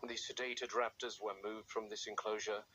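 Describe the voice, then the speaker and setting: A man playing a grim, watchful game warden, narrating a found-footage field log in a measured, grave and deliberate way. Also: on the low side, little pitch movement, pushed and bright. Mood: grim, clinical. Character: grim, watchful male game warden logging dangerous captive raptors. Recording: faint background noise.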